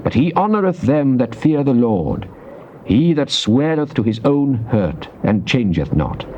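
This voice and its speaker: An actor playing a devout, earnest psalmist, reciting scripture in a solemn, measured, declamatory theatrical style. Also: male voice, mid-range voice, very animated, a little mellow. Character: devout psalmist, earnest man praying to God. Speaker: dramatized scripture reader. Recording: dull noisy cassette tape transfer.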